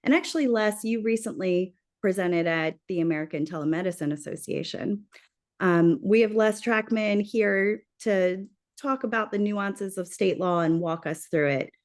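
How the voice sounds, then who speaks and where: Brief: woman co-host of a professional webinar, mid pitch, voice giving out, friendly with fillers. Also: normal speed. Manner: friendly, lightly scripted with fillers. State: losing her voice. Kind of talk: corporate webinar.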